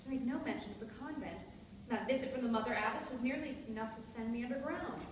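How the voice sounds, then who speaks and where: A woman's voice: on the high side, fast talker, close to an everyday voice. Woman acting an anxious widowed mother, echoey hall. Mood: distressed, impassioned. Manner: formal, ornate, melodramatic diction. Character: anxious, theatrical widowed mother and stepmother, frail-nerved. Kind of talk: dramatic stage reading of a period play. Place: hall at a live staged reading. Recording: echoey noisy hall, distant microphone.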